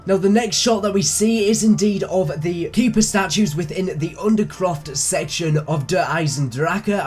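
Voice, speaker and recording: A young man who is sick and whose voice sounds a bit off, hosting an eager, speculative breakdown of a game trailer. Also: fairly high pitch. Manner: eager, speculative, talks things through. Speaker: YouTube gaming channel host.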